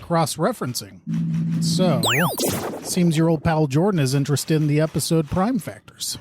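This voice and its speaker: A man playing a sluggish, deadpan time-agency worker in a comic radio drama. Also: a little high, moderate pace, music in the background, lightly acted. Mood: droll. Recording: loud noise and clicks.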